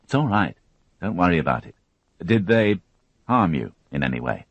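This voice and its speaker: A man voicing a gentle male police detective, asking questions in a calm, steady way. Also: deep voice, normal speed, no echo, muffled recording, full character voice.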